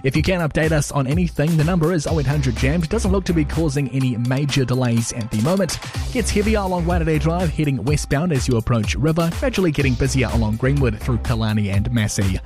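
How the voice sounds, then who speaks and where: A man reading a radio traffic report in a brisk, even delivery. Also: on the high side. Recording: radio broadcast over music bed.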